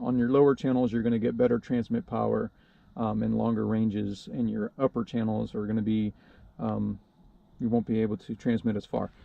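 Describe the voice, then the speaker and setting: A man's voice: medium pitch, gravelly, mellow. A man giving a casual, hands-on how-to explanation in a hesitant, unscripted and modest way, as the host of a DIY video. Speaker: DIY video host demonstrating a radio installation.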